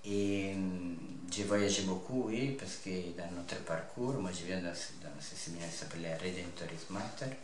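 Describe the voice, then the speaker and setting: A man of twenty-nine from north-east Italy with an Italian accent, giving an earnest personal testimony in halting phrases. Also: deep voice. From north-east Italy (Friuli). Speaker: interview guest, seminarian about to be ordained priest. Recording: echoey room with faint background noise.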